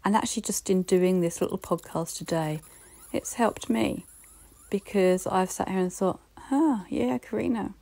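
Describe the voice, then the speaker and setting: A woman with a British accent giving a reflective solo podcast talk, gently encouraging and speaking straight to the listener. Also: fairly low pitch, normal speed. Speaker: podcast host.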